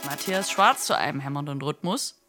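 An actress playing a wry, poetic storyteller, narrating a radio play. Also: female voice, low-pitched, expressive, normal speed, music in the background. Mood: wry, lyrical.

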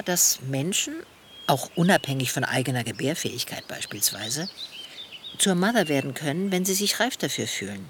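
A woman playing a thoughtful, articulate middle-aged feminist in a radio play, speaking in measured, reflective phrases. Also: deep voice, fairly bright tone, slight background noise. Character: thoughtful feminist woman recalling her longing for motherhood. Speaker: main character in a radio play. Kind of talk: reflective first-person radio play dialogue.

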